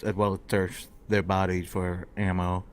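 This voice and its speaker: A man's voice: low-pitched, level tone, normal speed, clean tone. A man playing a role-playing game, casually stating his actions and hesitantly thinking aloud.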